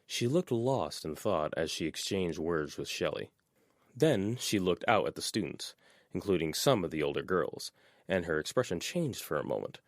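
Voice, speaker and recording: A man narrating a fan audiobook chapter in an expressive, measured reading style. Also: low voice, dry recording, studio quality. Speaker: audiobook reader.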